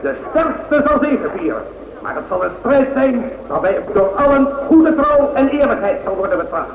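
Formal race official, very high voice, measured and grand, echoey old radio drama. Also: male voice, big pitch swings, a crowd in the background, full character voice. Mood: solemn, ceremonial. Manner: ceremonial, measured, declamatory. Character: formal race official announcing starters and giving speeches. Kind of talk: radio play public announcement. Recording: echoing, dull, slightly noisy old radio recording.

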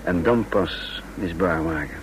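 A deep-voiced, calculating male spy. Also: unhurried. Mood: calm, calculating. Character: composed, calculating male spy, narrating and talking to companion. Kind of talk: Dutch radio play acting. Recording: noisy old radio drama recording.

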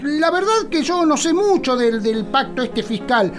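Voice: high-pitched, expressive, a little slow, soft background music. A performer voicing a dim, pleading politician with an Argentine accent, muddled and repetitive, in a satirical radio sketch. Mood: eager, muddled.

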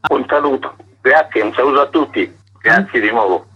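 A man speaking informatively as an author and guest on a radio programme, with a husky, sharp-edged voice. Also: mid-range voice, moderate pace, muffled recording. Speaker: author presenting his book as radio guest.

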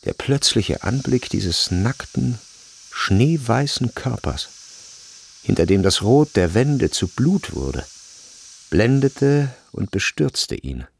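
A man narrating a literary radio drama in a measured, grave and deliberate way. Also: medium pitch, sing-song, gravelly, slight background noise.

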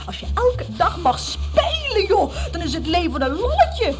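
A woman's voice: very high voice, sing-song, unhurried, over music, exaggerated voice. A woman playing a cheeky, carefree boy who breezily coaxes his friend into mischief, in a children's audio story. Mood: coaxing. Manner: breezy, coaxing.

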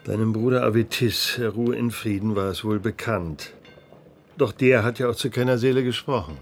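A man's voice: fairly low pitch, moderate pace, slight background noise, natural voice. An actor playing a frightened, cautious village headman in a radio play. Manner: anxious, cautious, hesitant.

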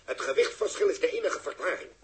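An actor playing a stern mission official who scolds and commands emphatically in a 1960s radio drama. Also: male voice, high-pitched, lively intonation, fast talker. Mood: reproachful.

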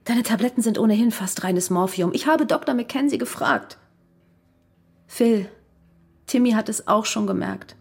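An actress playing a strained, reproachful wife who keeps herself under control in a radio crime drama. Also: female voice, on the high side, moderate pace, pauses often, a little mellow. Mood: weary, reproachful. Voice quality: husky, breathy.

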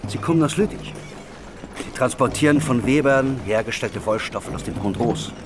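An actor playing a worldly, persuasive confidant in a historical radio drama. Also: male voice, medium pitch, normal speed, noisy background, natural voice. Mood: eager, explaining. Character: worldly, persuasive male confidant urging on a tired man.

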